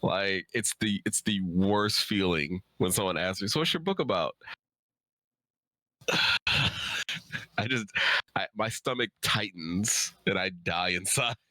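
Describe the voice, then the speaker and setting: A man with a low, forceful voice talks casually as an author guest on a stream. His delivery is candid and emphatic, often repeating himself, and the sound is dry with no room echo. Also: measured pace, pauses often. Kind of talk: casual chat.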